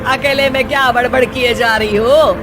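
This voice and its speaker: A woman's voice: a little high, fairly even intonation, normal speed, a crowd in the background, close to an everyday voice. A woman voicing a bossy, nitpicking mother-in-law in a moral-story cartoon, scolding and giving orders. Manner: scolding, commanding, punctuates orders with questioning grunts. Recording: music behind the voice.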